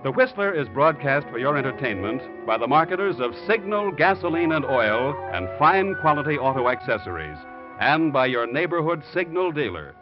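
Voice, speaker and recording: A man's voice: fairly high pitch, very animated, moderate pace, music in the background. A man with an American accent announcing from a script in polished, emphatic 1940s radio style. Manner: emphatic, polished sales delivery. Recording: muffled 1940s radio recording.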